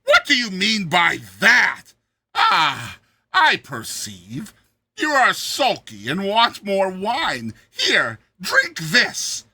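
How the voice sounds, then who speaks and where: A man's voice: huge pitch swings, extremely slow, pushed and bright, breathy edge, dry recording, full character voice. A man voicing a cruel, mocking, boisterous tyrant king in a theatrical, roaring storybook reading. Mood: impatient, fierce. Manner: booming, theatrical, roaring, imperious.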